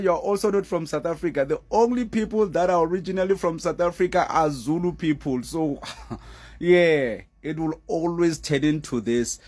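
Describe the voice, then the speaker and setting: A man giving casual, animated commentary on a news story, laughing often and using many fillers. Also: high-pitched.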